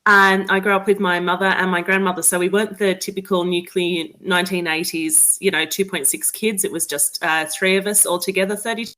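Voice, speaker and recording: A woman's voice: on the low side, fairly even intonation, a little fast, hardly any pauses, pushed and bright, poor audio. A chatty Australian woman, a guest author, talking casually and laughing often in a remote interview. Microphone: remote call audio.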